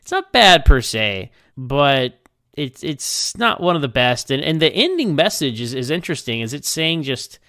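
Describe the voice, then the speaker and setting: A man with a clear, resonant voice of medium pitch records informal commentary. He rambles in an unscripted way, halting and repeating words. The sound is close and dry, with no room echo. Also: big pitch swings, pushed and bright. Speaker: podcast listener sending recorded feedback. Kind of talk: casual, unscripted episode review.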